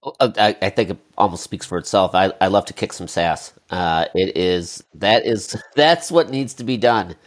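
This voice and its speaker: Brief: male co-host, low voice, playful and stumbling in casual chat. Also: moderate pace, hardly any pauses. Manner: jokey, stumbling with restarts. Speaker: podcast co-host.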